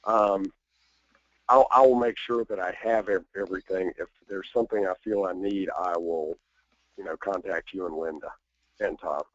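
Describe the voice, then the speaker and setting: A salesman on a team call, speaking with a hoarse voice, soft and apologetic. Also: low voice, steady tone, moderate pace, dry recording, lo-fi sound.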